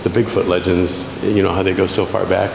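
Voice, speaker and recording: A man's voice: low voice, level tone, brisk, gravelly. A man hosting a casual interview, chatty, offering his own opinions and questions and echoing what he hears. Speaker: interviewer and video host. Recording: echoey reverberant hall with noisy ambience.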